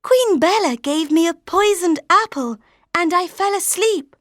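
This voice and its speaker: A woman voicing a sweet, gentle young princess in a bright, high voice. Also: lively intonation, unhurried, no room sound, clean studio sound, heavily acted.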